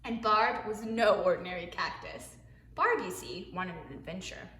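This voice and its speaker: A woman, a preschool teacher, reading a picture book aloud to young children in an expressive way, with hushed asides. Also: lowish voice, normal speed, slight background noise. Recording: echoey room.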